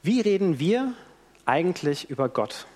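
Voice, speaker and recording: A man's voice: on the high side, measured pace. A man preaching a sermon in a church, telling the story in a vivid way and acting out what people say. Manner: animated storytelling with acted-out quotes. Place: church hall. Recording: long church hall reverb.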